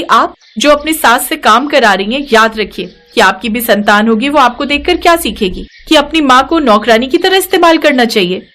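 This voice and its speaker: A woman's voice: a little high, a bit bright, close to an everyday voice. A woman voicing a righteous, outspoken young daughter-in-law who lectures earnestly in a moral-story cartoon. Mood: firm, warning.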